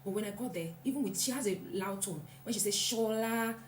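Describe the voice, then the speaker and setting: A woman's voice: mid-range voice, quick pace. A woman giving an earnest, reflective motivational talk and repeating points for emphasis. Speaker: vlogger. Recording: echoey room, faint hum.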